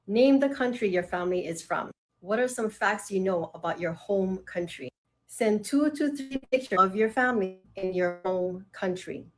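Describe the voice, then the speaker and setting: An older woman from Hawaii, a grandmother, reading out a school assignment in a flat, list-like way and then venting indignantly. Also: on the low side. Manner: indignant, reads list of questions then vents. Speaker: grandmother in a social media video. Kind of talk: reading aloud and ranting.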